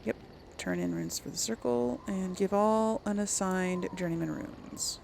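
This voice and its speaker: Woman gamer with creaky voice, chatting casually. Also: mid-range voice, measured pace, darkish tone, a little hiss or hum. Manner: rambling, thinking aloud. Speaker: let's play host. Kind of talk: casual gameplay commentary, talking to viewers.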